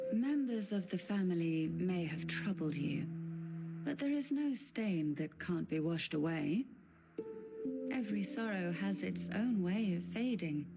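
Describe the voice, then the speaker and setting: A woman voicing a composed, courteous noble matriarch in a video game, speaking formally and with measured poise. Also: fairly low pitch, lo-fi sound. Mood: gracious, poised. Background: loud game music.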